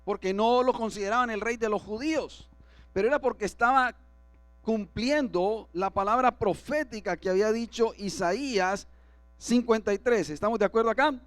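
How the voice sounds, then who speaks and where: A man's voice: high voice, moderate pace, dry recording. A man preaching as pastor to his congregation, often asking them for agreement. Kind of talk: sermon. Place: church.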